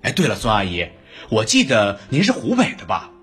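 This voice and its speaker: A devoted young man, played by an actor, chats in a radio drama with lively intonation. He is polite and considerate, friendly in manner, with faint music behind him. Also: fairly low pitch, fairly quick, breathy edge, full character voice. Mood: friendly, concerned. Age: young adult. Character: polite, devoted young male office worker.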